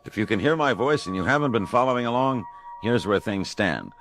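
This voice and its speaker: A man playing a weary but steady late-night radio host in an audio drama, plain and low-key. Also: a little fast, faint music behind. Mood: weary, uneasy.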